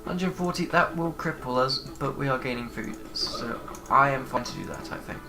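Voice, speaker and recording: A man's voice: medium pitch, very animated, normal speed, gravelly. A man with a cold, giving rambling, hesitant commentary while he plays a game. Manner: rambling, hesitant with many fillers. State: congested with a cold. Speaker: gaming channel host. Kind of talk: casual let's play commentary.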